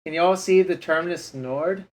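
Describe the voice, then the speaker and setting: A man's voice: on the high side, moderate pace, darkish tone, rough recording. A man streaming a card game, talking casually to his chat and grumbling under his breath over his plays. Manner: frustrated, muttering to himself and chat.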